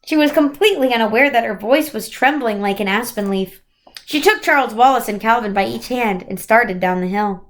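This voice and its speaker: A woman reading a children's novel aloud as expressive storybook narration. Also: a little high, brisk.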